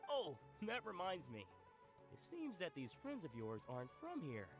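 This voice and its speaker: A man's voice: sits high, huge pitch swings, normal speed, faint music behind, heavily acted. An actor playing a jovial, generous male food merchant who chuckles and teases. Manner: chuckling, good-humored, teasing.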